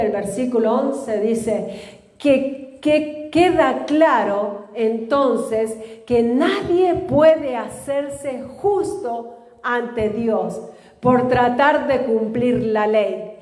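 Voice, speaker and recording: Woman pastor, live sermon, slow scripture reading, congregation repeats after her, fairly high voice, booming hall reverb. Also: a little mellow. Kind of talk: live church sermon teaching. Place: church in a large hall. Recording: echoey church hall with long reverb.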